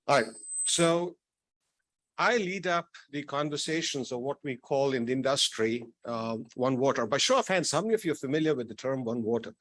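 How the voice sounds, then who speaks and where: A man from Tanzania presents at a conference in an easygoing way, joking and restarting his sentences. His voice sits in a middle pitch. The sound is close and dry. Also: animated, normal speed, a bit bright, no echo. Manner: conversational with light jokes and restarts. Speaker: conference speaker. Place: conference hall.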